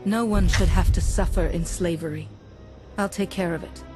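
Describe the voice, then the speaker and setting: A woman playing a calm, resolute Jedi hero in a video game, measured and earnest. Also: fairly low pitch, over music, natural voice.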